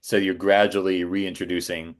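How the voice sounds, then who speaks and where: A man in his forties chatting casually as a podcast guest, thoughtful and a little halting as he phrases things. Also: low-pitched, little pitch movement. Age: 40s. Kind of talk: casual chat.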